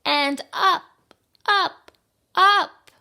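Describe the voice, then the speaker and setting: A woman's voice: very high voice, creaky, bright, forceful tone, pitched above the performer's own voice, heavily acted. Eager bird teacher for a children's storybook, female reader acting it out: raised voice, emphatic, stretched-out delivery. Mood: excited coaching. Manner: emphatic, drawn-out chanted commands. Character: eager bird flight-school teacher coaching little fledglings.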